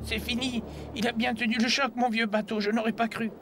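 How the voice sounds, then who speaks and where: A man's voice: extremely high pitch, level tone, fairly quick. A man voicing a kindly old grandfather and boat captain, speaking reassuringly in a dubbed cartoon. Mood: calm. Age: older. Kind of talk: dubbed low-budget cartoon dialogue. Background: music.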